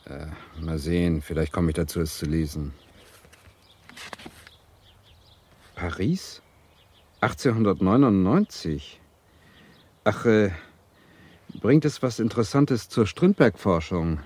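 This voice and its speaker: A deep, slightly rough male voice for a Swedish literature lecturer in a radio play. He narrates reflectively and deliberately, with dry irony and self-doubt. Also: expressive, a little mellow, dry recording, heavily acted. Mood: reluctant, grumbling. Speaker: narrator and lead character.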